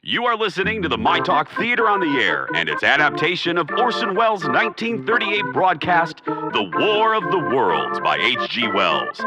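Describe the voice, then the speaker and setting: An actor playing a grand old-time radio theater announcer, formal and theatrical in 1930s style. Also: male voice, a little high, wildly swooping pitch, normal speed, low-quality recording. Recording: loud music behind.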